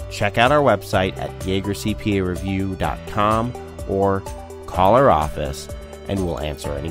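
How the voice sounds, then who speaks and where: Deep-voiced man reading a podcast intro. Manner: upbeat promotional reading. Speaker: podcast producer and announcer. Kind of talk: scripted show introduction.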